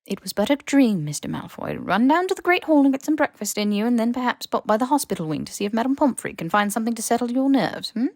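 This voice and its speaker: A woman voicing a stern, shrewd older school headmistress in an audiobook, with crisp, formal phrasing and dry exasperation. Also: on the high side, big pitch swings, fast, few pauses, darkish tone, no echo, voice pushed up. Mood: firm, softening. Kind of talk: audiobook character voice.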